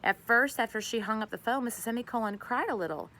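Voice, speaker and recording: A woman reading a children's story aloud as narrator, expressive and conversational. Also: on the high side, fast talker. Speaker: storybook reader.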